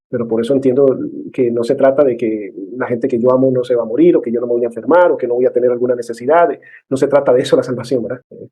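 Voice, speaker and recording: A man's voice: medium pitch, mellow, breathy edge, rough recording. A man teaching a discipleship class in a conversational way, with many fillers and tag questions. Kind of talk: informal church class lecture.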